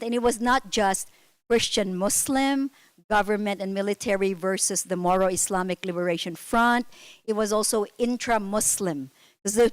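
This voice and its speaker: A woman's voice: mid-range voice, no room sound. A middle-aged woman from the Philippines, speaking on a conference panel and telling stories in an earnest, candid, self-critical way.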